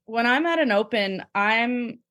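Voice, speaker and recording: A woman's voice: on the high side, a little slow, a bit bright. A woman chatting casually as a guest, thoughtful and explanatory in how she talks. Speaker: podcast guest, amateur cornhole player. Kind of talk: casual chat.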